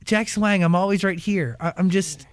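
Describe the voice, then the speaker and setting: A man's voice: high voice, moderate pace. A man hosting a casual interview, playful and joking with a slangy way of talking. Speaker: podcast host.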